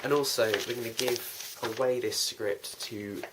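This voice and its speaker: A man's voice: mid-range voice, steady tone, unhurried. A young man talking casually to viewers as a fan audio drama producer, easygoing with plenty of ums. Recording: background noise.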